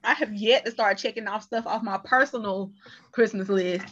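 A woman's voice: mid-range voice, moderate pace, a bit bright, highs cut off. A woman with an American accent chatting casually as a guest on a podcast. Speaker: podcast guest, voice cast member. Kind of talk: casual chat.

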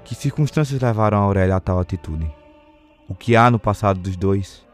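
Man, Brazilian accent, very low pitch, scripted radio drama narration, faint music behind. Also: brisk.

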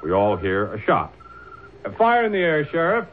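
An actor playing an earnest, shrewd colonial defense lawyer, courteous but insistent, in an old-time radio drama. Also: male voice, fairly high pitch, huge pitch swings, normal speed. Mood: dramatic, oratorical. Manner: hesitant fillers turning to oratorical questioning. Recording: phone-like old radio recording, faint hiss.

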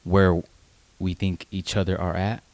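A man in his late twenties with a low-pitched voice talks in a dry-sounding space. He co-hosts an unscripted chat, rambling informally and halting as he finds his words, with casual swearing. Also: flat delivery, darkish tone, no echo. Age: late 20s. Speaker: podcast co-host.

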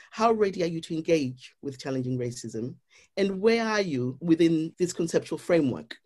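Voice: mid-range voice, darkish tone. Earnest speaker with a Zimbabwean accent. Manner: earnest, explanatory, with frequent "you know". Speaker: guest. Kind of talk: reflective interview talk.

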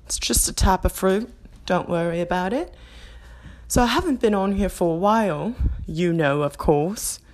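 A woman's voice: lowish voice, lively intonation, moderate pace, a little mellow. A woman doing a put-on Australian accent that keeps slipping into English, talking off the cuff in a rambling, self-mocking way in her apartment and laughing often. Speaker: podcast host. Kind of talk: impromptu stream-of-consciousness monologue.

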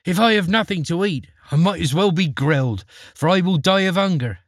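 A man's voice: sits high, lively intonation, a little fast, no echo, studio quality, voice pushed up. A man voicing Jack, a polite pleading boy.

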